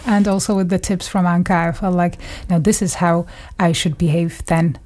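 A woman, a radio journalist reminiscing in an interview with thoughtful pauses. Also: fairly low pitch, steady tone, darkish tone. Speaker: radio journalist, studio guest.

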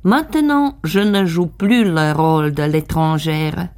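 A woman reading a voice-over translation of an interview in a calm, even way. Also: sits low, slow pace.

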